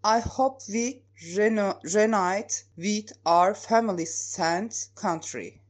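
Woman student, unhurried careful reading. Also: medium pitch. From Turkey. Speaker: language student. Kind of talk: reading her own poem aloud.

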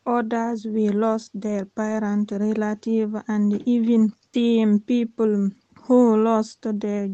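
Slow, careful woman reading a poem. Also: on the high side, dark tone, dry recording, highs cut off. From Guinea. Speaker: language student. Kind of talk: reading her own poem aloud.

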